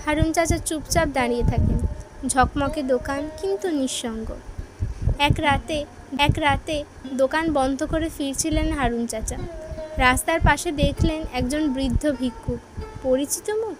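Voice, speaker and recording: High-pitched woman narrating a children's story. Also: a little slow, music in the background, poor audio.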